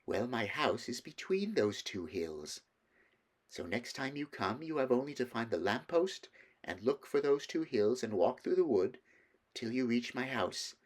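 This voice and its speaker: A man's voice: mid-range voice, sing-song, a little slow. Male narrator as coaxing witch queen, sly, secretive, wheedling, lilting storybook villainess. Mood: commanding, coaxing.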